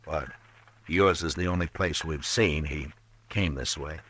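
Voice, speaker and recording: An actor playing a steady, firm frontier marshal in an old radio drama, speaking in terse, measured lines. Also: male voice, rumbling low, slight background noise. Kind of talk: 1950s radio drama acting.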